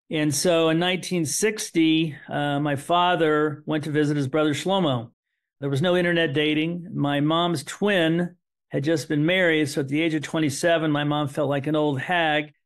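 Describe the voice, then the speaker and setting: A middle-aged man, whose was Hebrew, telling a family story as an interview guest in an earnest way that repeats words and restarts. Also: fairly high pitch. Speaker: interview guest, son of survivors. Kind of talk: conversational storytelling.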